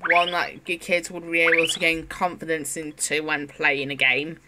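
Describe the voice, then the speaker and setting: A woman's voice: low-pitched, little pitch movement, normal speed, very noisy. A woman presenting a casual product demonstration as a toy reviewer. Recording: electronic toy music behind the voice. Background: music from a children's toy laptop.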